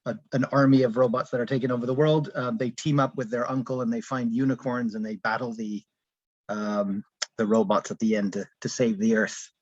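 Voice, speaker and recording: A man's voice: mid-range voice, little pitch movement, fairly quick, no room sound. Dull lo-fi audio, dry sound, man, casual explanation, author on a call. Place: at home on an online call.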